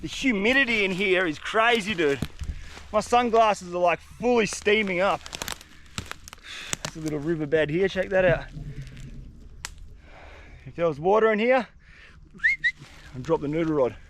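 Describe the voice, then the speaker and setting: Blocked-up vlogger, high-voiced. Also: male voice, lively intonation, a little slow, pauses often, fairly bright tone, slight background noise. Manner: laid-back, chatty, slangy. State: congested, blocked-up nose. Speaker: fishing vlogger. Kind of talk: casual outdoor vlog to camera. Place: dense humid forest, outdoors.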